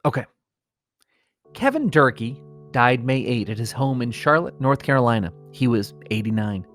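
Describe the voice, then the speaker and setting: A man's voice: mid-range voice, sing-song, moderate pace, fairly bright tone, soft background music. An American man hosting a comedy podcast, reading mock obituaries with strained solemnity and chatting casually. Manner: mock-solemn reading that keeps breaking into suppressed laughter.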